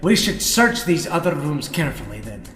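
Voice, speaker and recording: A man's voice: fairly high pitch, very animated, normal speed, fairly bright tone. A man playing a cautious, blunt mage adventurer in a fantasy audio drama, with old-fashioned phrasing. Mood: wary. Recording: echoey reverberant hall with music behind.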